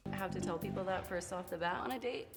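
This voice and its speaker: A woman's voice: lowish voice, sing-song, fast. A woman on a dating show chatting politely with her date. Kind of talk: casual first-date talk. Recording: clip from a show with music. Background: music.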